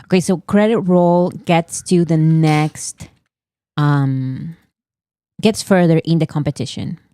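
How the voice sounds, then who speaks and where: A woman hosts a casual chat in a slightly low voice, teasing and laughing as she presses on. The sound is dry and close. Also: slow pace, mellow, clean tone, no echo. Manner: teasing, laughs while pushing ahead. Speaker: host.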